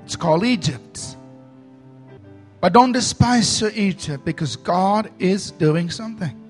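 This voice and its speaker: A man from India, a pastor preaching a sermon with dramatic swings between loud emphasis and soft asides. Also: high-pitched, expressive, unhurried, soft background music.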